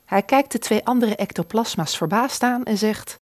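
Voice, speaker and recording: A woman's voice: fairly high pitch, normal speed, darkish tone, no room sound. Woman narrating a radio play, dry sound.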